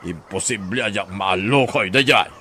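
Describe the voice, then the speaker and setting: A man's voice: lowish voice, a little slow, sharp tone, slight background noise. A man with a northern Philippine accent playing a calculating older husband in a radio drama, talking conversationally. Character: scheming older husband, eager to buy land.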